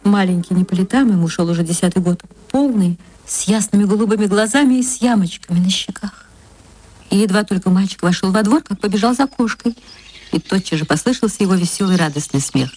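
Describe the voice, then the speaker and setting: A woman reading a literary story for radio as narrator, with an expressive, dramatic and deliberate delivery. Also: mid-range voice, slight background noise.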